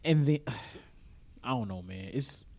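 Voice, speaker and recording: An American man co-hosting a podcast in casual, slangy banter, quick to chuckle. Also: mid-range voice, lively intonation, darkish tone. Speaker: podcast co-host.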